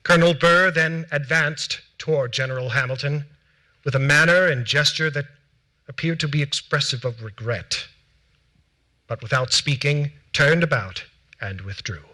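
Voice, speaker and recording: An actor playing a solemn early American gentleman, formally and deliberately reciting a witness account in a stage reading. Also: on the high side, rough recording. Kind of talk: theatrical dramatic reading of period documents. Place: theatre stage before a seated audience.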